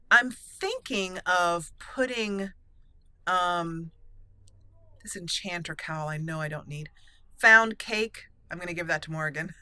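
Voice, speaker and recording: A woman's voice: mid-range voice, sharp tone, no room sound. A woman doing casual roleplay game commentary, chatty and thinking aloud as she plays. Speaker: let's play host.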